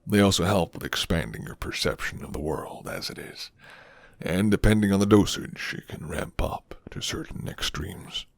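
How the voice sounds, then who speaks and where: A man playing a flirty, gentle, rugged werewolf who lives alone in the forest, speaking slowly and hushed in a deep, gravelly ASMR roleplay voice. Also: animated, no echo. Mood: grave, calm. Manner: slow, hushed, teasing.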